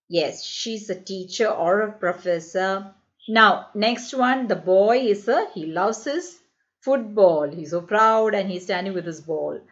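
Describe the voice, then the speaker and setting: A woman from India teaching an English lesson to children, repeating words slowly and coaxing her pupils to speak. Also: mid-range voice, lo-fi sound.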